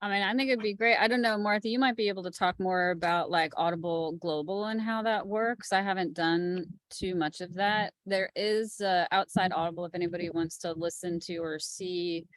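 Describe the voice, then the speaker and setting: A woman's voice: on the low side, steady tone, moderate pace, few pauses, dry recording, poor audio. A woman speaking in a conversational, plain-spoken way as a producer on an informal panel. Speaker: executive producer, panel guest.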